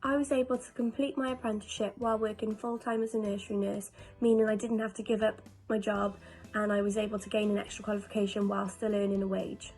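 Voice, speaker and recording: Woman, mid pitch, earnest flowing testimonial over a light music bed. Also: little pitch movement, quick pace, darkish tone. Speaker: nursery nurse apprentice. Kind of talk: prepared testimonial.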